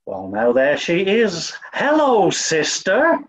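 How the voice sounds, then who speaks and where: A man's voice: high voice, huge pitch swings, slow, muffled recording, heavily acted. A man voicing a toff who poses as a bumbling, over-polite cleaning woman with a put-on Southern US accent. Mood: bluffing sweetly. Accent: put-on American South. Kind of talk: 1940s radio comedy acting.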